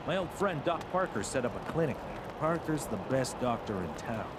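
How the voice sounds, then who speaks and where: A seasoned ranger guide from a video game, played by a man, briefing in a measured and wry way. His mid-pitched voice moves in lively swings under loud noise. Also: lightly acted. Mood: wry, advising.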